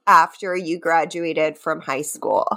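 A woman's voice: low voice, slow pace, gravelly, a bit bright. A woman co-hosting a comedy podcast, mixing playful dramatic readings with casual banter and often breaking into giggles. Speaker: podcast co-host.

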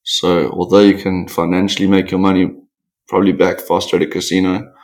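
A man talks in a fairly low voice, telling stories in an animated, rambling way. He speaks candidly as a podcast guest and acts out quotes as he goes. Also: a little fast. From South Africa. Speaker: podcast guest, professional surfer. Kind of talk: candid interview conversation.

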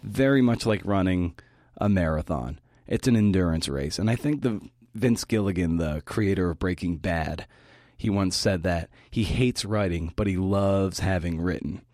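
A man with a low-pitched voice hosts a podcast talk. His delivery is prepared yet conversational, with folksy, reflective turns. The sound is dry and free of room. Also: normal speed, darkish tone, no room sound. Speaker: podcast host giving a talk.

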